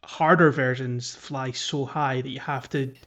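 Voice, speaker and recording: A man co-hosting a casual gaming podcast, explaining things in a halting, conversational way. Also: mid-range voice, fairly even intonation, a bit bright, muffled recording. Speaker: podcast co-host. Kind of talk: casual video game podcast discussion.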